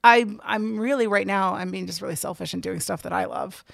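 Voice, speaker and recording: A woman's voice: mid-range voice, fairly quick, gravelly, a bit bright, no room sound, studio quality. An American woman in a casual interview, telling stories animatedly and acting out quotes. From United States. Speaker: podcast guest, organizer of play readings.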